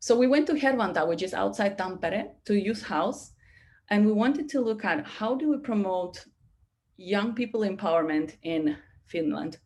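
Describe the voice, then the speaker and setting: Animated woman speaking in a webinar. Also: medium pitch, a little fast. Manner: animated, many restarts and "you know" fillers. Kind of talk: webinar talk. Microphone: video call.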